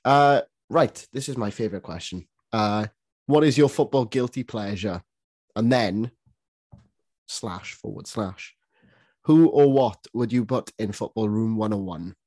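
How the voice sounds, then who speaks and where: A man's voice: on the low side, a little slow, a little mellow, no room sound. A man with a South Welsh accent co-hosting a podcast, chatty and jokey. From South Wales. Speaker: podcast co-host. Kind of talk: casual chat.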